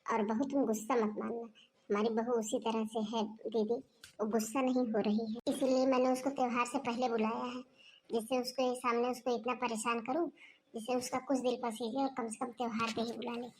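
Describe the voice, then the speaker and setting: A woman's voice: a little high, flat delivery, fairly quick, slight background noise, natural voice, poor audio. A woman doing a bossy, talkative mother-in-law. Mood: explaining. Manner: scolding, talkative. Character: scheming older mother-in-law, bossy, sharp-tongued. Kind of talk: homemade family skit acting.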